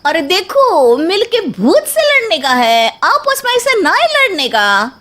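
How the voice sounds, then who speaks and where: A woman's voice: very high-pitched, wildly swooping pitch, slow, a bit bright, exaggerated voice. A woman playing a brash, feisty young daughter-in-law in a story, with bossy, cheeky, slangy street-smart talk. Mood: bold, confident. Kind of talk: animated Hindi story voice acting.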